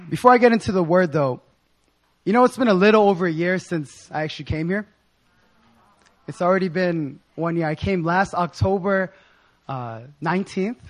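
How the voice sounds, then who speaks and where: A young man with a hoarse voice giving an informal sermon in a casual, slangy, excited manner. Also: high voice, normal speed. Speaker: preacher.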